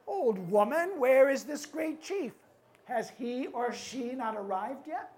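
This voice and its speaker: A woman storyteller playing a proud male chief who speaks loudly in a scolding, demanding way. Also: fairly high pitch, unhurried, close to an everyday voice. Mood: indignant. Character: proud, loud great chief, a man, indignant and easily upset. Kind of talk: live oral storytelling.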